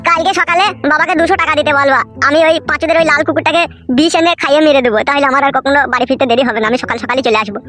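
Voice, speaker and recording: A woman voicing a brazen, argumentative young village boy who fibs smoothly in a comedy cartoon. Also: high voice, steady tone, very fast, few pauses, a bit bright, faint music behind. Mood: defensive, cheeky. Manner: insolent, argumentative, matter-of-fact.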